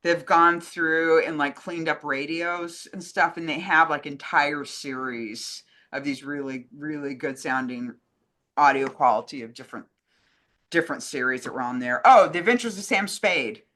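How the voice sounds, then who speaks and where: A woman's voice: sits low, normal speed, a bit bright. A woman in casual conversation, talking in an enthusiastic, rambling way as a co-host. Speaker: co-host answering fan questions. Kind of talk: casual chat.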